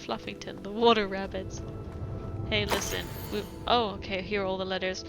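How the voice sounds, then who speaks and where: A woman's voice: medium pitch, level tone, normal speed, vocal fry. A young woman with a mixed European accent, chatting casually and reading letters aloud while streaming a game, laughing often. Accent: mixed European English. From British, raised elsewhere in Europe. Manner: chatty, giggly, many fillers. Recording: music playing behind.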